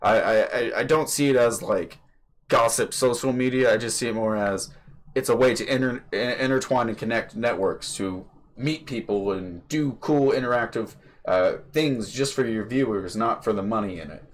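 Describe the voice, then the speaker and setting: A man's voice: mid-range voice, moderate pace. A young man hosting a podcast, chatting casually over a voice call, rambling with lots of fillers and casual swearing. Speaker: podcast host. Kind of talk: casual chat. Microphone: computer microphone on a voice call.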